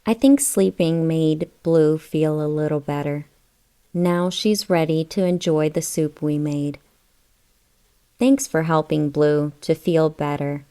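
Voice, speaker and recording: Female storybook narrator for small children, lowish voice, very leisurely pace, question-led read-aloud delivery. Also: fairly even intonation, slow pace, warm tone, clean tone. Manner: addresses young listeners with questions.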